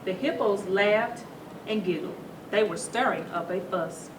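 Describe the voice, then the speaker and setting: A woman reading a children's story aloud to schoolchildren in a teacherly, animated way, stopping to put questions to them. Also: mid-range voice, a little slow. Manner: teacherly, asks the listeners questions. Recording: roomy echo, distant mic, noisy background.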